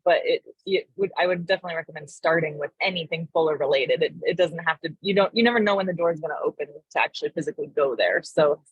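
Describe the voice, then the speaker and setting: Woman in her 30s on a video call, breathy voice, laid back, laughs a lot, dry sound. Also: sits low, quick pace, hardly any pauses, no room sound, dull audio. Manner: relaxed, laughs easily, some restarts. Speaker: guest researcher. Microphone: laptop mic on video call.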